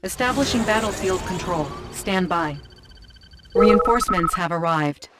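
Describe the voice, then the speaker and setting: A woman's voice: lowish voice, animated, measured pace. An actress voicing a cool female military computer, giving clipped, impersonal status announcements in a video game. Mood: cool, alert. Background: loud music.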